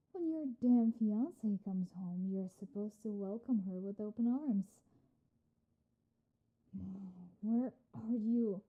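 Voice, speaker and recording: A woman's voice: medium pitch, narrow, tinny audio, lightly acted. A woman playing a tough, sadistic, possessive bully fiancée in a dramatic audio roleplay, scolding and emotionally intense. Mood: bossy, irritated.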